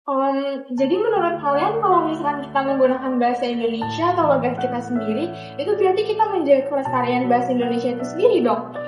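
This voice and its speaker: A young woman acting a female student in an amateur school skit who reasons softly against a classmate's view. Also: high-pitched, lively intonation, quick pace. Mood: reasoning. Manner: soft, reasoning, conversational. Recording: roomy, with music underneath.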